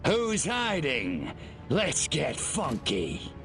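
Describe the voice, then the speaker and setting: An actor voicing a small, cocky, sarcastic alien invader in a video game, creaky and sardonic. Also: male voice, on the high side, huge pitch swings, very slow, music in the background, exaggerated voice. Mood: taunting. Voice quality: gravelly, creaky. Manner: wisecracking, sardonic, drawling. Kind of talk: video game cutscene acting.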